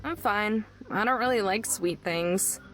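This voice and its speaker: An aloof young woman from a visual novel, played by a voice actress, with a mid-range female pitch. She is dry and reserved, and music plays softly behind her. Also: unhurried, lightly acted, poor audio. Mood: dry, dismissive.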